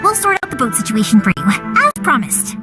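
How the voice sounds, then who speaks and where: A cheerful young fireworks maker. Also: female voice, sits high, a little fast. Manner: warm, reassuring, lively. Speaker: fireworks shop owner. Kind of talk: video game dialogue. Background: game background music.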